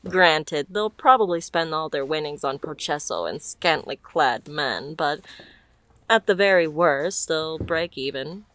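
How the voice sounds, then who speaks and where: Woman doing a flirty mafia boss lady for asmr roleplay, low voice, takes her time, teasing and smooth. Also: a bit bright, poor audio. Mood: flirtatious, charming. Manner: teasing, smooth-talking, unhurried.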